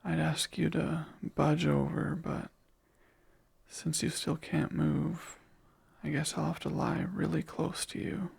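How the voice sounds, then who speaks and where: A lonely, clingy male sleep paralysis demon, played by a man for an ASMR roleplay. He murmurs in a very husky, breathy whisper with a strong gravelly crackle, at a crawling pace. His voice sits a touch high, and he muses softly to himself. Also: level tone, exaggerated voice. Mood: tender. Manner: slow, soft-spoken, wistful musing.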